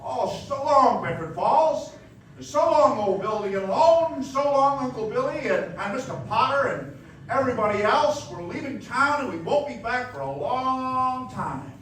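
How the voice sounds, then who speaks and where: An actor playing an earnest, excitable small-town young man in a 1940s style radio play, stammering and emphatic. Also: very high-pitched, measured pace, heavily acted. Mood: exuberant. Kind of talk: live radio play acting, 1940s American. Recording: echoey dance hall from far off, faint noise.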